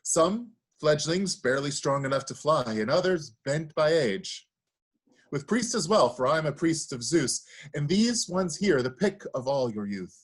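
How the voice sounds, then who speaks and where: A man's voice: fairly high pitch, lively intonation, unhurried, breathy edge. A man acting a reverent priest pleading with his king, in an earnest, oratorical reading of Greek tragedy. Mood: grave, pleading. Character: reverent, pleading priest of Zeus, grave suppliant.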